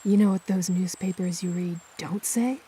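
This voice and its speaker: A woman playing a bitter, guarded werewolf on the run in a radio drama, blunt and confrontational in a husky voice. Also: on the low side, moderate pace, dark tone.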